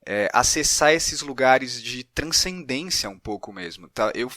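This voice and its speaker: A man with a Brazilian accent hosts a reflective interview. His voice is bright and sits very dry, with almost no room sound. He works through his thoughts haltingly, in a conversational style. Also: medium pitch, expressive, no room sound. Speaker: podcast interviewer.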